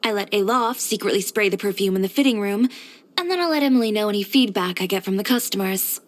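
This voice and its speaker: A woman's voice: mid-range voice, fast, close to an everyday voice. An actress playing a headstrong, composed young woman who runs a boutique, speaking frankly and with dry confidence, as video game character voice lines. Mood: composed, approving. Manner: matter-of-fact, frank, dryly confident delivery.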